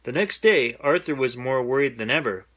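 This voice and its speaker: A man reading a children's bedtime story aloud in an expressive storytelling style. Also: mid-range voice, moderate pace.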